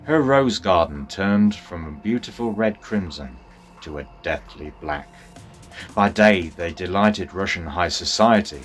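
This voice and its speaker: Very deep-voiced dramatic male storyteller. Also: lively intonation, faint music behind. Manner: theatrical, deliberate. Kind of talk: dramatic scripted storybook narration.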